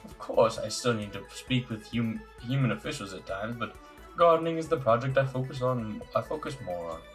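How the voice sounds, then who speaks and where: Game role: kindly, huge goat king male performer, courteous, measured delivery, faint music bed. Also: medium pitch, animated, lightly acted.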